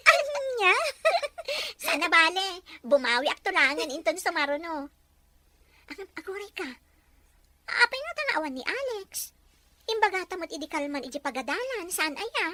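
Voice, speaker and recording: A woman playing an excitable, sweet young woman who gushes and exclaims in a melodramatic audio drama. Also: very high-pitched, normal speed, no room sound, exaggerated voice. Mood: giddy, flustered. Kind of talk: melodramatic radio-style drama acting.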